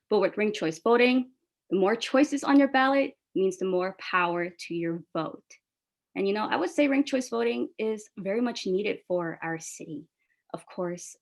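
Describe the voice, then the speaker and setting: A woman from New York City, a Bangla speaker, hosting a press call as an organizer in an enthusiastic, casual, friendly way. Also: medium pitch. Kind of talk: upbeat press call moderation.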